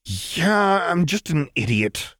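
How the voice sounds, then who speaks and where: A manic young troublemaker talks in a high, giddy rush, her voice leaping and cackling as a man puts her on. Her pitch jumps sharply from word to word, and the reading is close and dry. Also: sing-song, no room sound. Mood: self-scolding. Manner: giddy, fast, cackling delivery.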